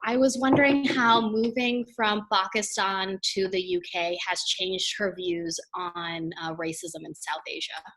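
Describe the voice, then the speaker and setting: A woman's voice: medium pitch, steady tone, unhurried, hardly any pauses, a bit bright, low-quality recording. A woman politely asking a hesitant question, with a few fillers along the way.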